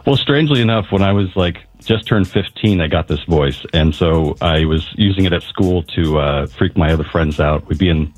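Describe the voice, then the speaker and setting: Brief: male radio guest, very low husky voice, laid-back. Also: moderate pace, few pauses, low-quality recording. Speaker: romance audiobook narrator. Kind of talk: phone-in radio guest chat.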